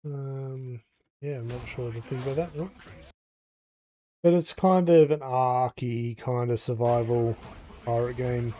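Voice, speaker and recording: A man's voice: mid-range voice, fairly even intonation, a little slow, long pauses, warm tone, rough recording. A tired, congested man chatting casually on a livestream, rambling and thinking aloud as he plays. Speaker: game streamer. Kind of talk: casual livestream chat over gameplay.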